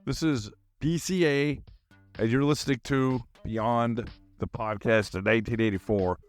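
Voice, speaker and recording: A man's voice: medium pitch, measured pace, dry recording, faint music behind. A middle-aged man with an American accent, hosting a podcast in a casual, rambling, slangy monologue. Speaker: podcast host.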